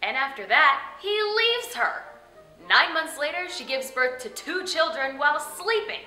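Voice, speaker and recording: A woman's voice: high-pitched, very animated, measured pace, pushed and bright. A woman hosting with scripted comic narration in a theatrical, tongue-in-cheek manner. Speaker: host and storyteller. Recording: roomy echo, faint music behind.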